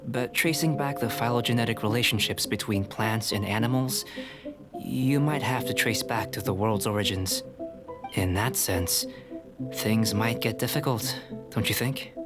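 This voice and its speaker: Man voicing a cool young alchemist, measured, lecturing, game music underneath. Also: on the low side, lightly acted. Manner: measured, lecturing, coolly confident. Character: calm young male alchemist scholar, composed and aloof. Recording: studio game voice with music behind.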